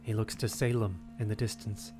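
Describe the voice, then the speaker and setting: A man delivering scripted dramatic narration for an audio drama in a grave, deliberate way with suspenseful phrasing. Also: fairly low pitch, fairly even intonation. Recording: narration over prominent music.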